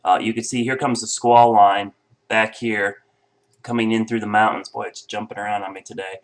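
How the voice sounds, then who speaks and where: A middle-aged man, a chief meteorologist, gives a relaxed weather briefing full of ums and uhs while he sounds stuffy from a cold. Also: lowish voice, moderate pace. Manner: explanatory, relaxed, with frequent fillers.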